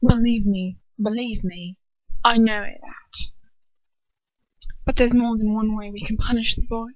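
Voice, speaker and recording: A woman's voice: a little high, steady tone, a little slow, no echo. A woman voicing a haughty, scheming witch with an emphatic, scornful delivery for a story. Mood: scornful, insistent. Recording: dull, lo-fi home recording.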